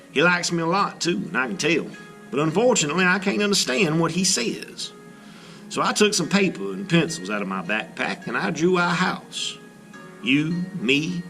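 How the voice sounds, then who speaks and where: Storybook little traveler, read by a man, wistful and full of wonder, lively pitch, music behind. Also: full character voice. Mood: amazed, wistful. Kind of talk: children's storybook read-aloud.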